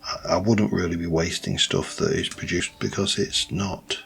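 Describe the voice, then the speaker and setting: A man with a light Yorkshire accent, rambling through casual gameplay commentary with many pauses and restarts. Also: low-pitched, steady tone, gravelly, fairly bright tone, faint music behind. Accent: light Yorkshire English. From Yorkshire, England. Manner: rambling, thinks aloud with many ums and restarts. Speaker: let's play host.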